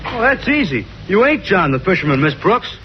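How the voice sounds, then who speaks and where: Dim, guileless teenage schoolboy, high-pitched. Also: male voice, sing-song. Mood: simple, cheerful. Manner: folksy, ungrammatical, slow-witted. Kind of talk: 1940s radio sitcom acting. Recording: muffled, very noisy old radio broadcast.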